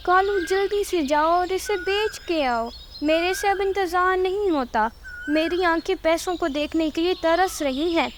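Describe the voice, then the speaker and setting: A woman voices a haughty, greedy crow wife in a cartoon fable. The voice is high and boastful, with a demanding manner, over faint nature noise. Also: unhurried. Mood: greedy, impatient. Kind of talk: Hindi cartoon dubbing.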